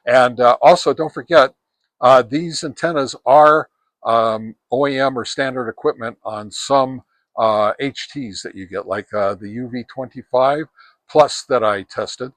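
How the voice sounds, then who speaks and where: A man presenting an informal, unscripted product review, speaking haltingly with frequent pauses in mid-sentence. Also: mid-range voice, fairly bright tone, dry recording. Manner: halting, pausing often mid-sentence, clears throat. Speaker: reviewer, presenter of radio antenna tests.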